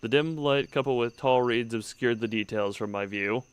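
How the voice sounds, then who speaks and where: A man's voice: mid-range voice, moderate pace, clear, resonant tone. A man streaming a story game, reading the text aloud and adding wry asides, often breaking off mid-sentence and restarting, close to the mic. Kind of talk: let's-play commentary and reading aloud.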